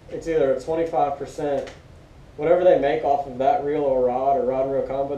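A young American man chatting casually as co-host of a gear review, recorded in a living room on a camera a few metres away. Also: dark tone. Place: living room at home, indoors. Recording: dull muffled roomy audio, rumbly background noise.

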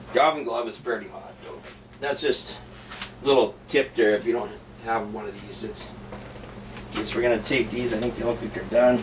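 A middle-aged man casually chatting as he cooks and tastes food in his home kitchen, rambling and offhand, with many restarts. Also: mid-range voice. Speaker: food vlogger. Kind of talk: casual cooking and tasting vlog. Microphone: camera mic set back in the room. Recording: distant camera mic with background noise.

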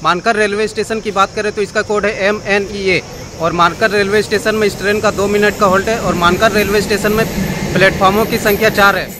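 A man's voice: flat delivery, fast. A man narrating his train journey for a travel vlog, listing station facts as he goes. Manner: informative, reels off station facts. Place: inside a moving train. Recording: loud train noise behind. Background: train rumble.

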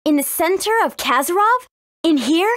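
A woman's voice: very high voice, animated, moderate pace, fairly bright tone, no room sound, studio quality. A woman playing a spirited, earnest young girl adventurer in a video game, speaking expressively. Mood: curious.

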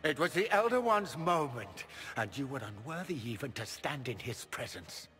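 A man voicing a scheming middle-aged magister villain with a smooth, menacing, grandiose manner, in game audio with hall reverb and score. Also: medium pitch, very animated, normal speed, a bit bright, soft background music.

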